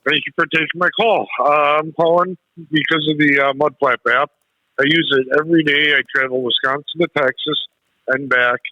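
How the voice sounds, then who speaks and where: A man calling in to a radio show, talking casually in halting phrases with pauses. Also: fairly high pitch, steady tone, pushed and bright, dry recording, muffled recording. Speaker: radio show caller, truck driver. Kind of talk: casual phone-in chat.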